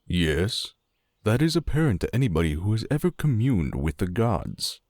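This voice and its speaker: Fantasy druid wanderer, male actor: very deep, formal, musing, expressive melody. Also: normal speed, no echo, clean studio sound. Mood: contemplative. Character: contemplative, formal male druid traveler from the mountains. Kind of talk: fantasy audio drama acting.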